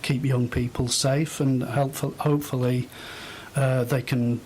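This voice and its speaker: Middle-aged male interview guest, measured and unhurried, pausing to think, faint rumbly noise behind. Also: level tone, darkish tone, low-quality recording. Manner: hesitant, thoughtful, halting phrases. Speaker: interview guest, volunteer host. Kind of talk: unscripted radio interview conversation.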